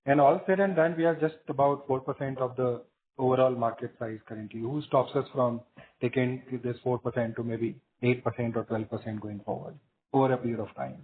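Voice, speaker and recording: A man with an Indian accent answering analysts as a company executive on a business call, measured and matter-of-fact in explaining. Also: medium pitch, steady tone, slight background noise. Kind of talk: spontaneous business Q&A on an earnings call. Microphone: conference call line. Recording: muffled narrowband phone conference line.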